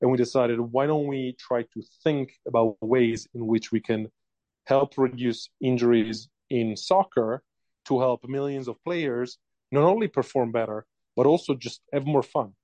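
A man's voice: medium pitch, steady tone, measured pace, warm tone, no room sound. A man in his forties from Italy with an Italian accent, a company founder telling his story in a casual interview, hesitant with many fillers.

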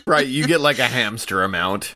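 A man chatting casually as a player in a tabletop role-playing game, expressive and reactive. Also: mid-range voice, moderate pace.

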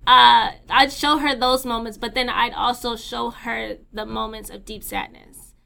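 A woman's voice: fairly high pitch, level tone, measured pace, gravelly, pushed and bright. A young woman co-hosting a podcast, talking candidly with lots of fillers, swearing and laughter. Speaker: podcast co-host. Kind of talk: casual chat.

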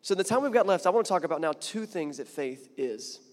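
A man's voice: high-pitched, lively intonation, fast, long reverb tail. A man preaching a sermon in a chatty, conversational way, building to emphatic points and often repeating words. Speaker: pastor.

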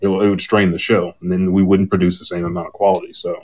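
A man's voice: deep voice, little pitch movement, quick pace, a little mellow. A man co-hosting a podcast, chatting casually and candidly in a rambling way, often retelling conversations as dialogue. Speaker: podcast host. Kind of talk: casual chat on a live podcast panel.

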